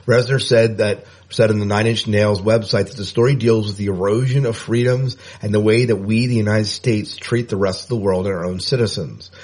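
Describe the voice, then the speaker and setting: A man's voice: on the low side, fairly even intonation, fairly quick, hardly any pauses, darkish tone, breathy edge. A man co-hosting a podcast, chatting casually and reading news items in an informal, jokey way. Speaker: podcast co-host.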